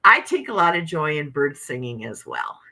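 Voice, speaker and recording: A woman's voice: low voice, huge pitch swings, normal speed, vocal fry, bright, forceful tone. A woman in her fifties hosting a casual livestream, chatting and laughing as she reads out viewer comments. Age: 50s. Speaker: livestream host.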